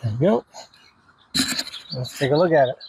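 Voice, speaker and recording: A man's voice: mid-range voice, lively intonation, moderate pace, mellow, rough recording. A man giving a casual, hands-on demonstration as a hobbyist video host, with informal, folksy wording. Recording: clear background noise, ambient sound.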